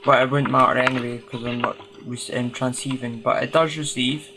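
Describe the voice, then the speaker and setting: A man's voice: medium pitch, steady tone, a little fast. A man giving casual, unscripted hands-on commentary as he tinkers, hesitantly thinking aloud. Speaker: hobbyist restorer hosting a video. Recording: noisy home recording with clicks.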